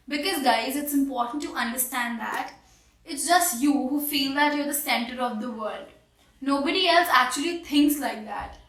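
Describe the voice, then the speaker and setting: High bright Indian-accented woman teaching. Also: reverberant, recorded from a distance, rough recording. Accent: Indian English. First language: Hindi. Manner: earnest, encouraging, direct advice to viewers. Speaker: English teacher. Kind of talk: online English lesson.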